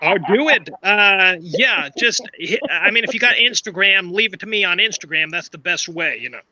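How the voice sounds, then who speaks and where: A man's voice: high-pitched, animated, moderate pace, bright, forceful tone, a little hiss or hum. A man with an unusual, naturally cartoon-like voice, chatting casually as a guest on a livestream panel. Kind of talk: casual chat. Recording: dull, lo-fi sound.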